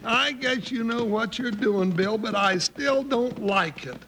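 An actor playing a big, jumpy cowboy deputy in a radio western, with an American accent and folksy, complaining rustic speech. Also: male voice, unhurried, vocal fry, bright, forceful tone, full character voice. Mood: grumbling. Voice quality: high-pitched voice. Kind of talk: 1950s radio western acting. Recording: noisy old-time radio recording.

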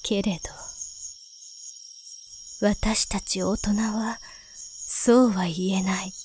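A woman playing an enigmatic elder sister in a Japanese audio drama, speaking softly and deliberately with gentle condescension and a quiet menace. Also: medium pitch, steady tone, slight background noise. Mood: solemn, knowing. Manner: slow, deliberate, soft feminine phrasing. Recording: nighttime cricket and insect sounds behind. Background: crickets, insect ambience.

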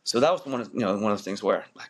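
A man in his forties with a low voice talks through his story on a podcast, circling back with restarts and "you know"s, laughing now and then. It is relaxed, unscripted interview talk. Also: rapid-fire. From born in Malaysia, raised in the United States. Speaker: wrestling referee, podcast guest.

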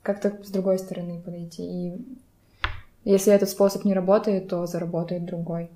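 A woman speaks casually in a very echoey room at a home. Her voice is mellow and mid-pitched, with little rise and fall as she chats as a co-host. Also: level tone, a little fast. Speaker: podcast co-host. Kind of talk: casual chat.

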